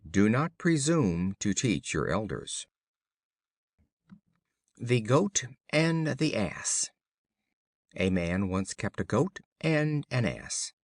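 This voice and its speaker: A man reading children's fables as an audiobook narrator, in a measured, formal storybook style. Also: fairly low pitch, big pitch swings, dry recording, studio quality.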